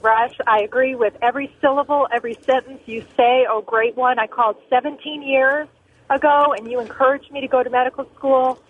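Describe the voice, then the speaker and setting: A woman's voice: high voice, fairly even intonation, a little fast. A middle-aged woman calling a radio show by telephone, talking earnestly in short, punchy, opinionated sentences over a narrow line. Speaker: call-in listener, family physician. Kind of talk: earnest opinionated call-in talk. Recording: narrow tinny phone line.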